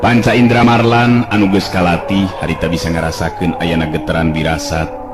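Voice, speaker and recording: A man with a Sundanese accent narrating a traditional folk tale in a calm, measured way. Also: low voice, noisy background. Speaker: storyteller.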